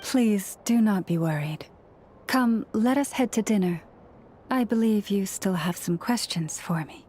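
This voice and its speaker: A woman playing a serene, devout young priestess and healer in a video game, speaking in a gentle, measured, formal way with quiet authority. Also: mid-range voice, lively intonation, slight background noise, lightly acted. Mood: calm, reassuring.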